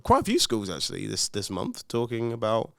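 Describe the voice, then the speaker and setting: A man's voice: mid-range voice, wildly swooping pitch, a little fast, vocal fry, fairly bright tone, no room sound, studio quality. A man of about forty, co-hosting a podcast with casual, teasing banter. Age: around 40. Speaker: podcast co-host.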